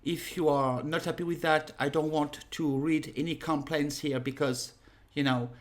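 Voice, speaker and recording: A woman in her thirties with a French accent, teaching a casual lesson to a webcam in a small room at home, unhurried and full of fillers. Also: sits low, steady tone. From France. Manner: unhurried, pauses with many fillers, direct. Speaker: harmonica teacher. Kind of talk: casual spoken lesson to camera.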